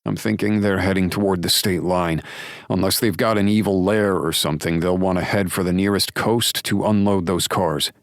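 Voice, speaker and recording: A blunt, no-nonsense lawman who lays out the facts firmly, as a deep-voiced man reads him. His voice sits well above the narrator's low register and carries a gravelly edge. It is recorded dry and close, with no room sound. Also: steady tone, normal speed, no echo. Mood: steady. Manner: firm, matter-of-fact. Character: firm, blunt male lawman friend on phone. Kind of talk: audiobook dialogue.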